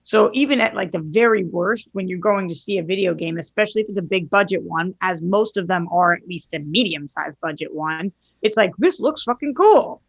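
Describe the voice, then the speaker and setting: A woman's voice: fairly low pitch, fairly quick, creaky, hardly any pauses, slightly rough, no room sound. A woman talking casually as a podcast panelist, giving opinions in an informal, sweary way.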